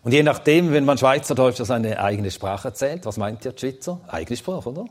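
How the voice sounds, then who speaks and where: A man's voice: mid-range voice, lively intonation, moderate pace. A man whose first language is Swedish, teaching a Bible seminar and answering audience questions in a thoughtful, plain-spoken way with some hesitant fillers.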